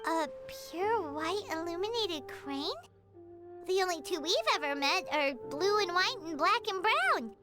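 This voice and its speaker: A woman voicing a small, childlike, excitable fairy companion in an anime video game. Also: very high-pitched, a little slow. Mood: curious. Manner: exclamatory, refers to self in third person. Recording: game music behind the voice.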